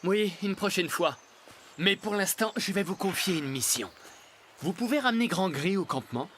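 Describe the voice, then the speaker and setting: High-voiced, animated teenage youth. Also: male voice, moderate pace, a little hiss or hum. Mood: encouraging. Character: caring, brave teenage Sioux youth, older mentor. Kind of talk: French animated series dubbing. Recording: loud music behind the voice.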